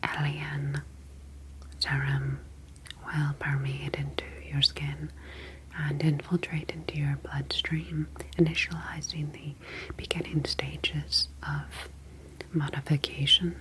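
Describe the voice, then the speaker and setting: A woman playing a calm, clinical ocean alien scientist in an ASMR roleplay, speaking softly and deliberately to reassure a patient. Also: sits low, slight background noise, poor audio. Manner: soft, slow, deliberate, reassuring.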